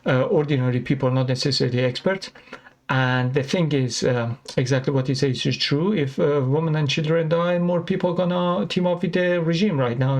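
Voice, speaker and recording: An Iran-born man, speaking English as a second language, hosts his own reaction commentary. He talks in a loose, halting way, full of "uh" and "um" and restarted phrases. His voice sits at an ordinary middle pitch. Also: gravelly, a little mellow. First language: Persian. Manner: halting, many fillers and restarts. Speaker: commentary channel host.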